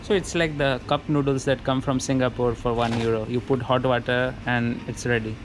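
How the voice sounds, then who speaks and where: A man's voice: mid-range voice, fairly even intonation, normal speed. A man, chatting and explaining as he films while shopping in a supermarket. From India. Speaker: vlogger. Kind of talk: casual chat while shopping. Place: indoors in a supermarket. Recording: noisy supermarket ambience.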